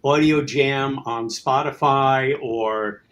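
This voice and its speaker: A man's voice: medium pitch, fairly even intonation, slow pace, fairly bright tone. A man talking casually as a guest writer and producer in a conversation. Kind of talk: casual chat.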